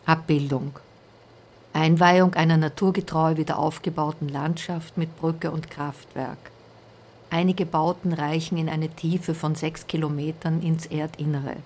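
A woman's voice: low-pitched, flat delivery, measured pace, darkish tone, a little hiss or hum. A woman reading factual captions as scripted narration.

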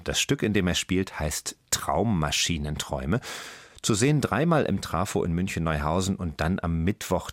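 Very deep-voiced radio presenter, dry sound. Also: male voice, no echo.

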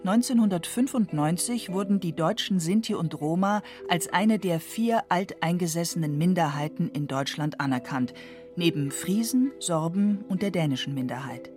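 A woman reading a scripted documentary narration in a measured, even way into a studio mic. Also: fairly low pitch, faint music behind.

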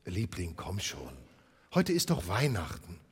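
A man voicing a humble, kindly clerk and father, gentle and placating. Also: low voice, wildly swooping pitch, measured pace, slightly rough, big-room sound, voice pushed down, full character voice.

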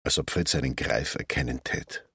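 An actor playing an exasperated grown-up son with a Bavarian accent in a radio drama. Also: male voice, very deep voice, moderate pace, sharp tone, slightly rough, no room sound, studio quality, exaggerated voice. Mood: dry. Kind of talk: radio drama dialogue and narration.

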